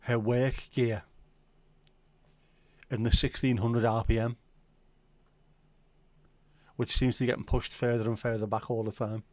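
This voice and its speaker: A man's voice: medium pitch, flat delivery, unhurried, long pauses, darkish tone, no echo. Sweary Scouse man chatting on a livestream. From Liverpool, England. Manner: banter-filled, sweary, jokey. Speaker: livestream host. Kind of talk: casual livestream chat reading viewer comments.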